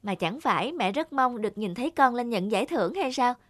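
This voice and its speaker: A woman voicing a devoted, earnest teenage student from a poor family in an animated moral tale, polite and youthful in manner. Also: a little high, a little fast. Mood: reassuring.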